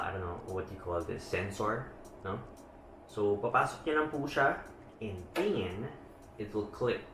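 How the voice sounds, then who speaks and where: A man's voice: mid-range voice, a bit bright. Chatty Filipino man unboxing gear. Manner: chatty Taglish with frequent "no?" tags. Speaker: motovlogger. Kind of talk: casual unboxing commentary. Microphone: small handheld camera mic. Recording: echoey room. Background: background music.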